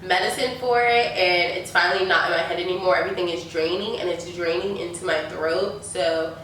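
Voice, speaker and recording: A woman's voice: fairly low pitch, brisk, a bit bright. A young woman in her twenties, stuffy from a sinus infection, chatting casually through a Q&A with lots of likes and ums. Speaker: beauty YouTuber. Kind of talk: casual Q&A chat. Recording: echoey room, mic at a distance, faint noise.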